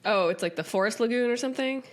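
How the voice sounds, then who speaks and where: A woman co-hosting a podcast in casual, playful chat, a little raspy and nasal from a cold, laughing often. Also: on the high side, fast. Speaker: podcast co-host.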